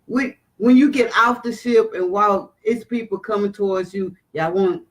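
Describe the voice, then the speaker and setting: A woman telling a travel story in casual chat, animated and dramatic, jumping to high exclamations. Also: normal speed. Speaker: vlogger.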